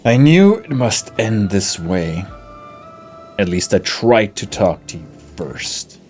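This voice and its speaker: An actor playing a grim, defiant undead knight in a fantasy game, speaking in a measured, solemn manner. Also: male voice, on the low side, big pitch swings. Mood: resolute. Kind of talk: fantasy RPG voice acting. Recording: game dialogue over loud music.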